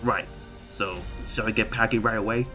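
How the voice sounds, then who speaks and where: A man's voice: on the low side, fairly even intonation, moderate pace, music in the background, natural voice. A man playing a polite, urging military commander in an improvised comedy parody dub, with a businesslike manner. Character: brisk, deferential male military commander advising a president.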